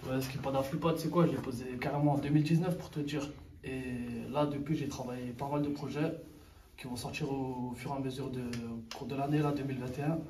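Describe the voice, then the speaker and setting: A man's voice: medium pitch, fairly even intonation, fast, mellow, distant mic. A man in his twenties, a rapper, chatting in slangy street talk and peppering it with filler tags. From Sri Lankan heritage, raised in France. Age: 20s. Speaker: rapper interviewee. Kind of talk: casual street interview. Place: outdoors in a neighborhood. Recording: roomy, from off the mic, faint street noise.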